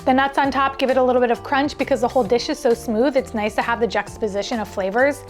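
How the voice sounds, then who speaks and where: A woman's voice: a little high, fairly even intonation, fast talker, faint music behind. A woman hosting a cooking show, chatting casually and exclaiming as she cooks and tastes in a kitchen. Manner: exclamatory, conversational. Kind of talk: casual food vlog chat. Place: indoor kitchen.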